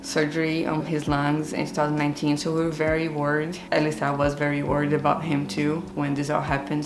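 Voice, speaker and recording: A woman's voice: low voice, vocal fry. A woman in her thirties from Brazil, with a Brazilian accent, telling her own story on her gardening and cooking vlog in an earnest, open and reflective way. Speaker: gardening and cooking channel host. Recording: roomy echo with faint music.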